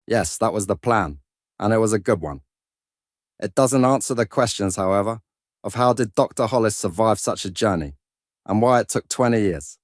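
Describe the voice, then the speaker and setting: A man playing a measured religious brother who explains a mystery in a precise, formal way in a sci-fi audio drama. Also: lowish voice, no room sound, studio quality, close to an everyday voice. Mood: pondering.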